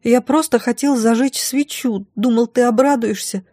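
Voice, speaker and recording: Young storybook prince, timid boy, high faltering childlike voice, acted by a woman. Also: normal speed, mellow, dry recording, studio quality, lightly acted. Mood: frightened, guilty.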